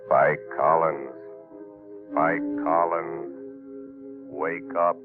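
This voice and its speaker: An actor playing a mysterious, menacing invisible crime fighter in slow, deliberate 1930s radio style. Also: male voice, very deep voice, little pitch movement, heavily acted. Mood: ominous, hypnotic. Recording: muffled 1930s radio broadcast, organ-like music behind.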